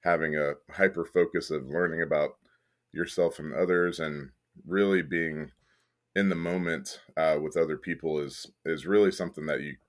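A deep-voiced man from Kentucky, a podcast guest who leads an arts organization, talking thoughtfully with plenty of fillers and restarts. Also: steady tone, moderate pace. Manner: thoughtful, many fillers and restarts, occasional laughs. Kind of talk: casual interview conversation.

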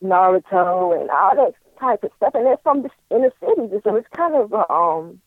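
A man's voice: high-pitched, animated, no echo. Man in his thirties on a tinny phone line. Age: 30s. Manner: easygoing, thoughtful, halting with fillers. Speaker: guest. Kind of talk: casual interview chat.

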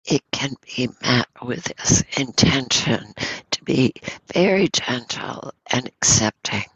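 A woman with a cold speaks softly as a meditation teacher, gently guiding listeners in short phrases with pauses between them. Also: rumbling low, lively intonation, breathy edge, dry recording, highs cut off. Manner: soft, unhurried, pausing between short phrases. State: has a cold. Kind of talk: gentle guided meditation talk.

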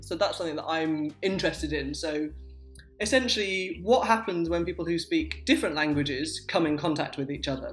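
A speaker, explaining language topics as a guest linguist, patient and example-driven with frequent "um" and "so". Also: medium pitch. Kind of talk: explanatory interview talk. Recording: roomy echo.

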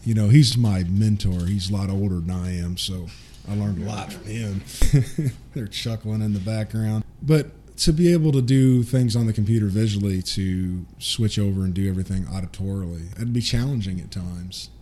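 A man's voice: on the low side, normal speed, warm tone, a little hiss or hum. A man chatting casually as an interviewer, encouraging and affirming. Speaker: interviewer, technology teacher. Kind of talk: casual chat.

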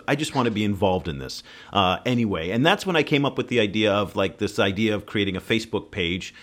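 A man hosts a podcast in a relaxed, conversational way and leads the talk by turning to each guest with questions. His voice sits fairly low. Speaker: podcast host. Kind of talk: casual podcast chat.

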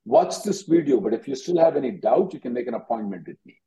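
Mellow-voiced man giving directive advice. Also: medium pitch, expressive, fast, rough recording. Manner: directive, repeats himself. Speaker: immigration attorney hosting a call-in. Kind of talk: advisory call-in question and answer.

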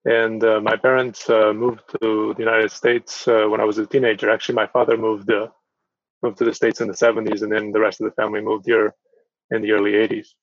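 A middle-aged man from Portugal answering interview questions as a podcast guest, speaking haltingly and repeating words as he goes. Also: on the low side, flat delivery, dry recording. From northern Portugal. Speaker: podcast guest, town mayor. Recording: dull, muffled lo-fi audio.

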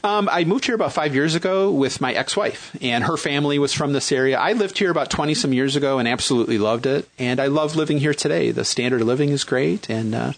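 A man's voice: mid-range voice, fast, creaky, few pauses, no echo. Hesitant Midwestern man in his fifties. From Michigan, raised in Western New York. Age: 50s. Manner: hesitant, many fillers and restarts. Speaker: guest, single dad looking for a partner. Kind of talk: casual chat.

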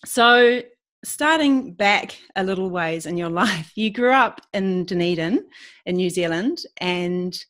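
A woman's voice: lowish voice, expressive, slow pace, dry recording. A woman hosting a podcast, introducing her guest with lots of fillers and gushing praise. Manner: warm, gushing, many fillers. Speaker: podcast host.

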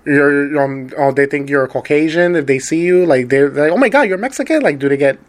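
A man's voice: fairly high pitch, fairly quick. A man hosting a casual interview, asking chatty, rambling questions. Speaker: interview host.

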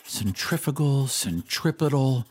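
A man's voice: medium pitch, animated, husky, pitched above the performer's own voice. A man voicing an earnest, musing schoolboy who puzzles things out aloud in an audio drama. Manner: musing, deliberate.

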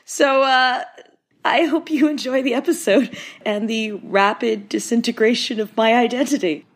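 A woman hosting a comedy podcast, slightly raspy and worn out, talking in a dry, mocking way. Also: fairly high pitch, moderate pace. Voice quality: getting raspier. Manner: dry, mocking, puts on silly voices for bits. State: exhausted. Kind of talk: sarcastic comic monologue.

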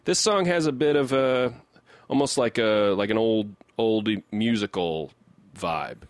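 Halting male podcast co-host, dry close sound. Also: fairly low pitch, animated, no room sound. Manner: halting, repeats words while riffing. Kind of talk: casual comedic music discussion.

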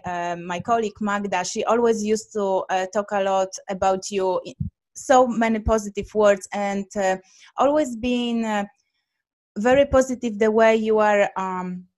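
A woman from Poland with a Polish accent, hosting a podcast interview, effusive and full of uh and um fillers. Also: medium pitch. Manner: warm, effusive, many fillers. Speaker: podcast host.

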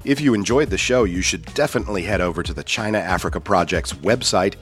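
A man reads a scripted podcast outro in a smooth, even delivery. His voice sits low, and soft music plays underneath. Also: fast talker. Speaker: announcer. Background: faint music.

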